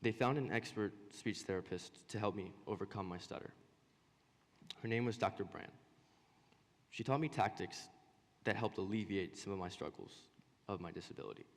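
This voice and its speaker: A young man with a low voice gives a personal student speech on stage. He stutters, pausing and repeating words as he goes, and his voice rings with the long reverb of a hall. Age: young adult. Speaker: student presenter.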